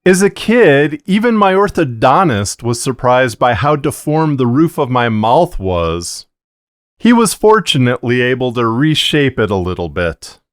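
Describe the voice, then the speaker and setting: A middle-aged man narrating a scripted explainer to camera in a measured, deliberate way, close on a broadcast mic in a small treated booth. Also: medium pitch, very animated, dry recording. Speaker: fiction podcaster and narrator. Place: small booth of acoustic blankets, indoors. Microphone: broadcast dynamic mic on boom arm, close.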